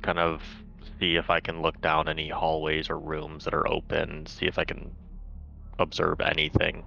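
A man's voice: extremely low pitch, completely flat delivery, normal speed, vocal fry, pushed and bright, soft background music. A man playing a tabletop game, talking casually through what his character does. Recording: dull audio, faint background noise.

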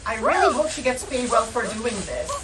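A woman's voice: fairly high pitch, big pitch swings, brisk. A woman co-hosting an online radio show, chatting casually and reacting over a video call, a little away from the microphone. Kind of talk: casual reaction chat, some Tagalog mixed in. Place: indoor studio room on a video call. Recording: distant mic, loud background noise.

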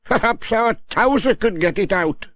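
A man's voice: high-pitched, expressive, normal speed, a bit bright, no echo, pitched above the performer's own voice. A man voicing a fussy older farmer, full of advice. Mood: advising.

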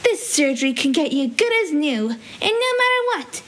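A woman's voice: high voice, sing-song, normal speed, fairly bright tone. A young woman voicing a manically cheerful, deranged female cartoon villain creature with a gleeful, sinister, coaxing delivery. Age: young adult. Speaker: amateur voice actor. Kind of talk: theatrical character voice lines. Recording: faint hiss, home recording.

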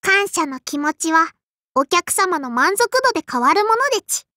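A woman's voice: extremely high pitch, expressive, normal speed, bright, forceful tone, no echo, studio quality, full character voice. A woman voicing a tiny, prim and strict sparrow girl innkeeper with a childlike lisp and a cutesy verbal tic, in an anime mobile game. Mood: prim, cheerful. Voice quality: childlike, lisping. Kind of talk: Japanese anime mobile game character voice lines.

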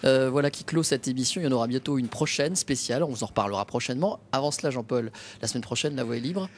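A man's voice: mid-range voice, fast talker, slight background noise. A man in his thirties hosting a television programme in a conversational presenting style on a handheld microphone. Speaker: TV host. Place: indoor TV set in a lobby bar.

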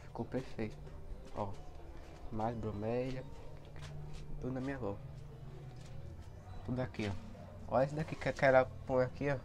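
A young man with a cold and a hoarse voice, chatting casually as he films his plants outdoors on a handheld phone. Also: medium pitch, fairly even intonation, normal speed, pauses often. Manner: chatty, points things out to viewers. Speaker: plant vlogger. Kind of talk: casual walkthrough vlog. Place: outdoor backyard garden area. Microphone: handheld phone camera.

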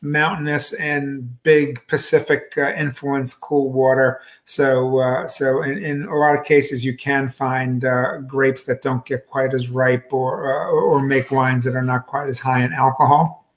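A man in his fifties talks a wine club through a bottle over a video call. He speaks casually from his home kitchen, stopping and restarting often and filling gaps with ums. His voice sits at an ordinary pitch for a man. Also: flat delivery. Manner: halting, many fillers and restarts, gestures with hands. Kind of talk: casual wine tasting talk. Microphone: video call webcam.

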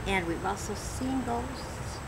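A woman's voice: mid-range voice, slow, gravelly. Woman in her fifties reading questions outdoors. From Michigan. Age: 50s. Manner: reads questions from a sheet, laughs often. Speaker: applicant reading audition questions. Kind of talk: casual group chat. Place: outdoors in a garden, seated. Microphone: camera mic at a distance. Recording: outdoor noise under the voice.

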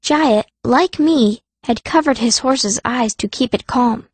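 A woman's voice: fairly high pitch, slow pace, husky, dry recording. A woman narrating a fantasy audiobook in the first person, as a young girl mage telling her story.

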